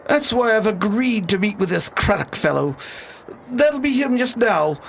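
Colonial governor for radio play, male actor: high, creaky voice, wry, theatrical, formal. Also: lively intonation, normal speed, a bit bright, a little hiss or hum, exaggerated voice. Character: colonial governor, male, worldly and wryly amused. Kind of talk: radio drama acting.

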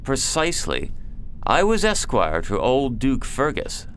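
An actor playing a loyal, courtly knight in an audio drama, formal and dry in manner. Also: male voice, medium pitch, wildly swooping pitch, unhurried, a bit bright, a little hiss or hum. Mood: explanatory, dry. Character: loyal knight, courtly male cousin to a lord.

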